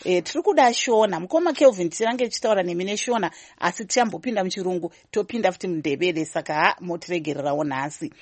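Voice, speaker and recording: A woman's voice: on the low side, lively intonation, rapid-fire, hardly any pauses, dry recording. A woman with a Zimbabwean accent hosting a live talk show, switching between Shona and English as she chats with listeners. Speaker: radio host.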